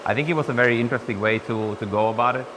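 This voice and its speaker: A young man from Finland, chatting casually in a barber shop and pausing to find his words. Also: medium pitch, background noise. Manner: thoughtful, searching for words. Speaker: guest, business partner. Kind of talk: casual conversation. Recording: reverberant room, faint ambience.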